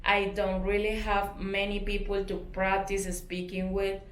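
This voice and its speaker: A woman's voice: medium pitch, completely flat delivery, measured pace, roomy sound. A Colombian woman, a native Spanish speaker, explaining a sewing project step by step in careful, hesitant English with a Colombian accent. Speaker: sewing tutor. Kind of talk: sewing tutorial narration.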